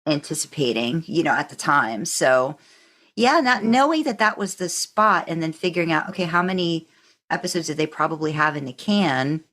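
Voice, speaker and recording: A woman's voice: deep voice, lively intonation, moderate pace, fairly bright tone. A woman hosting a casual conversational interview, informal and thinking aloud as she goes. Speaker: podcast host, interviewer.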